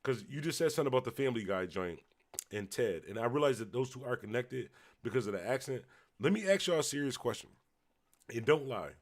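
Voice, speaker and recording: A man from Buffalo with a Western New York accent, a little tipsy, rambling loosely as he hosts a late-night livestream and shouts out viewers. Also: medium pitch, lively intonation, fairly quick. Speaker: livestream host. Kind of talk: casual livestream chat reading comments.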